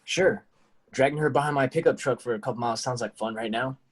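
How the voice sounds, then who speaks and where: A man's voice: medium pitch, fast talker, lightly acted. A man reading a sullen, brooding teen boy. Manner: flat, sullen, dark deadpan. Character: heartbroken, brooding teenage boy. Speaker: actor in a table reading.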